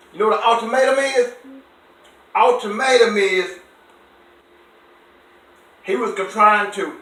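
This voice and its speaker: A high, bright-voiced man reads a bedtime story for a child. He is expressive and stops to quiz and explain words simply. The room echoes and the microphone sits at a distance. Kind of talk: animated read-aloud with explanations for a child.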